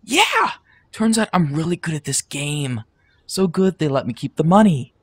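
Woman doing a brash mouthy kid boy, lowish husky voice, audio drama. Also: expressive, moderate pace, no echo. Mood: boastful. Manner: brash, defiant. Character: cocky, foul-mouthed troublemaking boy.

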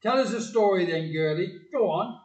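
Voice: medium pitch, animated, normal speed, a little mellow, far from the mic, lo-fi sound, lightly acted. An actor playing a taunting, menacing child bully in an audio play. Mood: jeering, threatening. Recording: echoey, off-mic.

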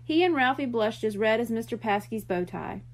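A woman reading a children's mystery book aloud in expressive storytelling narration. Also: a little high, moderate pace.

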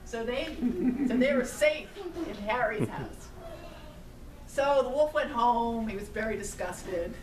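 Slow-paced woman storyteller in an echoey room. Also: fairly high pitch. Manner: simple phrasing with questions to the children. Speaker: storyteller and puppeteer. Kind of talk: interactive storytelling for young children. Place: daycare classroom, indoors. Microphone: camera mic at some distance. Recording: echoey noisy room, distant camera mic.

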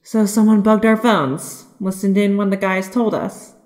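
A woman's voice: medium pitch, fairly even intonation, measured pace, darkish tone, close to an everyday voice. A woman playing a frightened young female hero held captive in an audio drama, earnest and worried. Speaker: voice actor.

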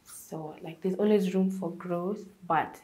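Young Kenyan woman chatting slowly. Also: on the low side, low-quality recording. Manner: relaxed, chatty, many fillers like "like" and "um". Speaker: interviewee, singer. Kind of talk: casual interview answers. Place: indoors, seated before a stone-look backdrop.

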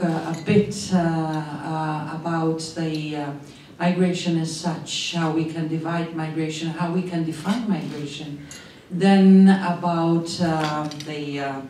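A woman's voice: low voice, warm tone, slight background noise. A middle-aged woman with a Czech accent, a non-native English speaker, giving a panel talk into a handheld mic and often searching for words. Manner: slow, searching for words, apologetic. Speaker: panel speaker, migration expert. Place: conference room, seated at panel table. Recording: echoey room, distant sound.

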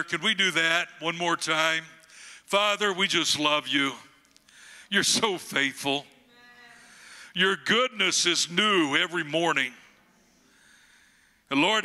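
An older man in his sixties from the United States, a guest pastor with a booming voice, preaching and praying slowly into a handheld microphone on a church stage. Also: sharp tone. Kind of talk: sermon and prayer. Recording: long hall reverb, faint music behind.

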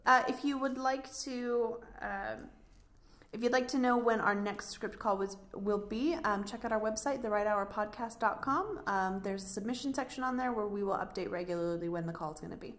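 A woman's voice: a little high, moderate pace. A woman co-hosting a podcast, chatting casually and giving show announcements. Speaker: podcast co-host.

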